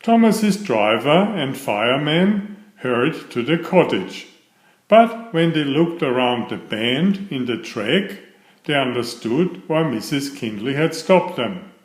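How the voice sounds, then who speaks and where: A man's voice: mid-range voice, big pitch swings, slow pace, roomy sound, low-quality recording. A man narrating a children's story, reading aloud from a script.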